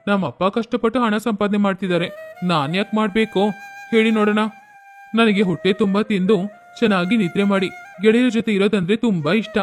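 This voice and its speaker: A carefree, pleasure-loving young man in a cartoon, voiced by a man, sounding breezy and dismissive. His voice sits high for a man. Soft music runs under his lines. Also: fairly quick, natural voice. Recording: faint background music.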